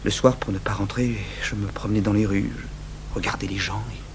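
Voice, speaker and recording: A man's voice: fairly low pitch, steady tone, close to an everyday voice. Man acting a tormented confessing killer, noisy old recording. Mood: anguished. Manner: anguished, halting, swinging from outbursts to hushed murmurs. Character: tormented, remorseful man confessing his murderous compulsion. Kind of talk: French radio drama acting. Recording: noisy, humming 1980s radio broadcast tape.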